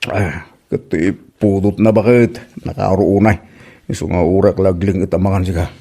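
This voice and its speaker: A man's voice: deep voice, steady tone, normal speed, mellow. A man acting a fussy, grumbling husband and protective father in a comedy drama, with an Ilocano accent and exclamatory, repetitive interjections. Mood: complaining. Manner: exclamatory, repeating short interjections.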